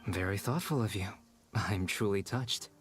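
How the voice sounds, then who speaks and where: An actor playing a calm, analytical young male scholar in a video game, precise and composed as if lecturing. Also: fairly low pitch, normal speed, lightly acted. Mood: composed, instructive. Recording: game music behind.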